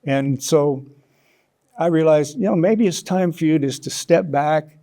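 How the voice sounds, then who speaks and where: An older American man answering as an interview guest, reflective and halting as he tells stories in a conversational way. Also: on the high side, warm tone. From United States, Kansas. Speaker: interview guest, author and former sports journalist.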